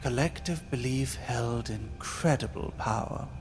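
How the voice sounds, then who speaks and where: A man's voice: medium pitch, unhurried. A man narrating a fantasy tale in a dramatic, scripted style, solemn and portentous. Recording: long reverb, music behind.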